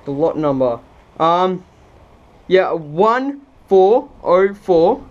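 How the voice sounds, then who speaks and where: A man making a phone call, speaking in a hesitant, halting way. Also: high voice, very animated, a little mellow. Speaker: prank caller. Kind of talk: phone conversation. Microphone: recorded beside a phone on speaker. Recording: faint background noise.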